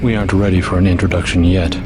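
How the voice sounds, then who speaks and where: A man playing a secretive, patronizing schemer in a video game, speaking deliberately. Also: low-pitched, flat delivery, over music, natural voice. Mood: smug. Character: secretive, condescending powerful male schemer.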